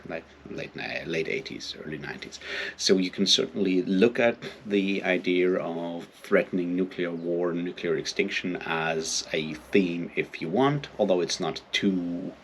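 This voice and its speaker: A man giving an informal, unscripted book discussion as a video host, halting as he thinks aloud. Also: sits low, slight background noise.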